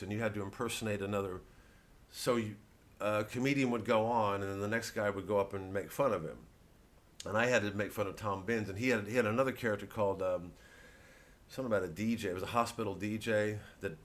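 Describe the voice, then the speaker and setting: A man's voice: fairly low pitch, fairly bright tone. A male comedian rambling through a blunt, indignant stand-up rant, swearing freely.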